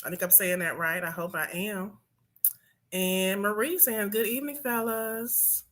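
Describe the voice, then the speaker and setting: Bright, upbeat woman hosting a panel. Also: mid-range voice, fairly even intonation, a little slow. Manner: upbeat, chatty, speaks straight to the women watching. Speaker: host of online panel show. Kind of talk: lively show hosting, addressing viewers.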